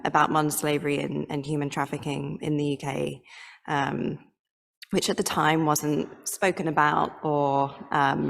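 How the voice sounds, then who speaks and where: Low, gravelly English woman in a hall. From England. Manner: measured, with frequent ums. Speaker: photojournalist. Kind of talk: panel self-introduction. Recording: long hall reverb.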